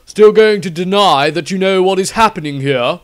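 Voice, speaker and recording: Fantasy audiobook role: righteous male priest acted by a reader, a man, stern, forceful, voice pitched up. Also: moderate pace, no echo, clean studio sound, lightly acted. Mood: accusing. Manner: forceful, declaiming.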